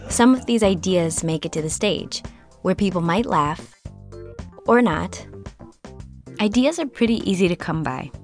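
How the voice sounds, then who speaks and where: A woman hosting a podcast, narrating scripted segments in a calm, measured way. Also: fairly low pitch, soft background music. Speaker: podcast host.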